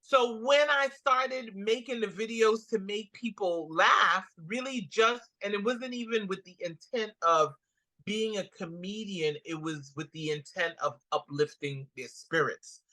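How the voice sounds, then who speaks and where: A woman's voice: mid-range voice, a little slow, poor audio. A woman with a Guyanese accent, a comedian guest telling stories in a casual interview and acting out the quotes. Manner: animated storyteller, acts out quotes.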